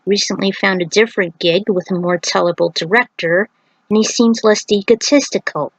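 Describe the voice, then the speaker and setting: A woman's voice: medium pitch, fairly quick, no echo, low-quality recording. A woman narrating a scripted story, occasionally stumbling over words as she reads. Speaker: narrator reading own fan fiction.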